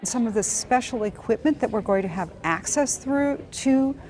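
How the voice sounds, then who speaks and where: A woman speaking in a news interview, explaining things in a measured way. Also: on the high side, lively intonation, darkish tone, slight background noise. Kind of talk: news soundbite.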